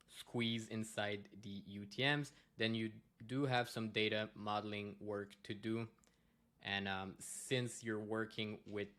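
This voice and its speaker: A man's voice: lowish voice, a bit bright. A man from Quebec, a native French speaker with a Québécois accent, giving a conference presentation and explaining with many fillers and restarts. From Quebec, Canada.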